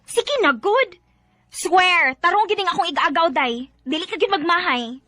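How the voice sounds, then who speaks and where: Very high-pitched sassy best friend. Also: female voice, expressive, moderate pace, sharp tone, no room sound, studio quality, heavily acted. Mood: eager. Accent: Cebuano Filipino. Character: bossy, caring young female best friend, sassy. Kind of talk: Filipino radio drama acting in Cebuano.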